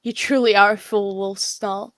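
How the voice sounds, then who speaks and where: A woman's voice: medium pitch, steady tone, normal speed, dry recording, natural voice. A woman voicing a proud, protective mother cat with an intense, hissing, emphatic manner in an animal roleplay story. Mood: angry, defiant.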